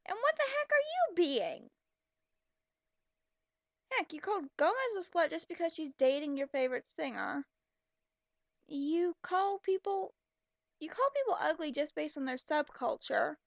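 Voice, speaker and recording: High, creaky, sarcastic young woman. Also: very animated, measured pace, pauses often, dry recording, highs cut off. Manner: exasperated, mocking, blunt asides. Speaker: commentator reading fan fiction aloud. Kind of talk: sarcastic reading with running commentary.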